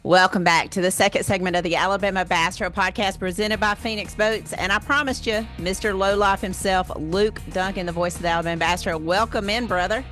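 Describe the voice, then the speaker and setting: A woman with a husky, smoker-like voice, hosting a casual podcast chat. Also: mid-range voice, steady tone, brisk, few pauses, fairly bright tone, soft background music. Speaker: podcast host.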